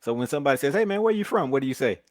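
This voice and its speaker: A man's voice: mid-range voice, sing-song, very fast, dry recording. A man interviewing a young football player, asking friendly questions and teasing him a little. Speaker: podcast interviewer. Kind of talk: casual sports interview.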